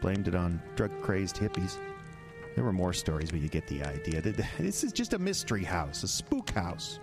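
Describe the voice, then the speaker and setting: Guy acting as shifty defensive middle aged man, low voice, stammers, radio play w music behind. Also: very animated, moderate pace. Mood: uneasy storytelling. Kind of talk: audio drama acting.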